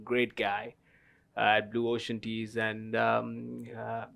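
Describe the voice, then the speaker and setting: A man's voice: medium pitch, completely flat delivery, takes it slowly, gravelly, fairly bright tone. A man in his thirties from Sri Lanka, a guest at a tea festival panel, telling his story with lots of fillers and the odd laugh. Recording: live festival stage talk.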